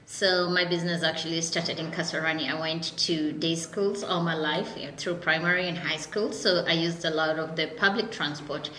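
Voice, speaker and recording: Kenyan woman in her 40s, low bright voice, laughs a lot telling her story, echoey room with faint noise. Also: normal speed, hardly any pauses. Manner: laughs often, animated storytelling. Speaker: founder and CEO guest. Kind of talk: casual interview.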